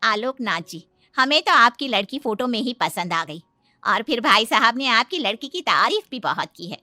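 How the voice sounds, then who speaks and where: Protective middle-aged mother-in-law, cartoon tale, woman performer: bright, forceful, slightly high, motherly but firm. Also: big pitch swings, normal speed, no echo. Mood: approving. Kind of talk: dramatized cartoon moral story.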